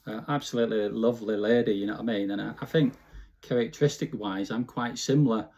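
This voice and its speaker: Chatty Lancashire man, mellow voice. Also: fairly low pitch, fairly even intonation, fast talker, vocal fry. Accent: Bolton, Lancashire. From Bolton, Lancashire, England. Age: 50s. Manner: chatty, informal, frequent "you know" tags. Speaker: interview guest. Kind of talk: casual reminiscing interview.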